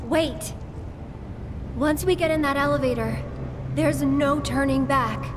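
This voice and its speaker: A woman playing an earnest, gentle young heroine in a video game, expressive and concerned. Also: high-pitched, takes it slowly. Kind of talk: dramatic video game cutscene acting. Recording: reverberant hall with clear background noise.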